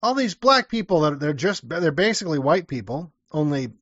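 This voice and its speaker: A man voicing an overeager TV presenter who keeps pleading with viewers to look, in a singsong, high voice. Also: sing-song, moderate pace, a bit bright, no room sound, highs cut off. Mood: eager coaxing. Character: overeager male TV voice reassuring viewers.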